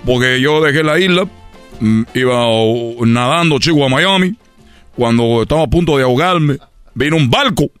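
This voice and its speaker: A man's voice: medium pitch, moderate pace, fairly bright tone, smooth, soft background music, natural voice. A man playing a boastful Cuban baseball player with a Cuban accent in a comedic radio sketch, rambling and tripping over his words. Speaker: comedy character on a radio show.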